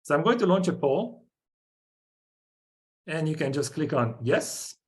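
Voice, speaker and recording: A man's voice: fairly high pitch, animated, a little slow. A man in his forties from Hungary with a Hungarian accent, giving a webinar presentation in short, punchy sentences with rhetorical questions.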